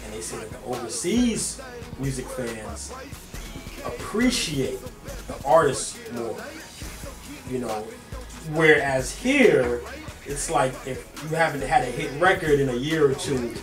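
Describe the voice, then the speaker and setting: A man's voice: mid-range voice, lively intonation, takes it slowly, echoey room, far from the mic, over music. A man hosting a hip-hop magazine interview, asking questions in an upbeat, hype style. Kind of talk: casual interview.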